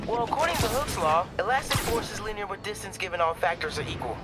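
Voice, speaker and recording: High-voiced, eager teenage boy. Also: animated, fast talker. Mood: eager, sheepish. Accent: American. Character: eager, bright teenage boy science whiz, superhero trainee. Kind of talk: video game cutscene acting. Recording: loud music behind the voice.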